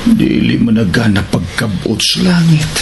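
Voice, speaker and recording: A man's voice: medium pitch, animated, unhurried, background noise. A man playing a scornful, grumbling character with a drawl in a radio drama. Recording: clear music behind the voice.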